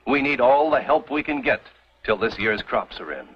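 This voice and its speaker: Solemn, stern pioneer churchman male actor, mid-pitched, vintage western, muffled and slightly noisy. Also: lively intonation, normal speed, natural voice. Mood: grave, insistent. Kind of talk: 1950s television western acting. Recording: muffled old TV soundtrack, faint noise.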